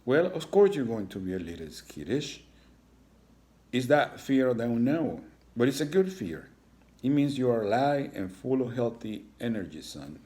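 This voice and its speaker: A man's voice: medium pitch, big pitch swings, moderate pace, dark tone, natural voice. A man reads as a cheerful iguana dad in a children's storybook. He is athletic and upbeat, talking in a hearty, encouraging fatherly way.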